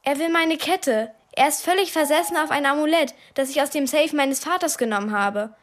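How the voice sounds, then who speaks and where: A woman's voice: high voice, normal speed, close to an everyday voice. An actress playing an earnest, urgent twelve-year-old girl in a radio play. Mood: anxious, earnest.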